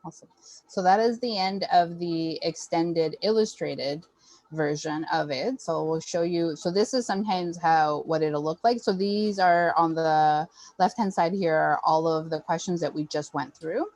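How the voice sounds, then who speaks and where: A woman with a fairly low voice talks parents through material as a program facilitator. The delivery is conversational, and the sound is dry and close with little room in it. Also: moderate pace, a little mellow, dry recording. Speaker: early childhood program facilitator.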